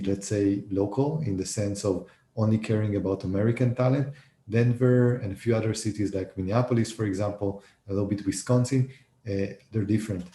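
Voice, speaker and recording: Dark, low-voiced man presenting. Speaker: host presenting rankings. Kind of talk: unscripted presentation. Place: indoor room on a video call. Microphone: headset on video call. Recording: roomy video call with faint noise.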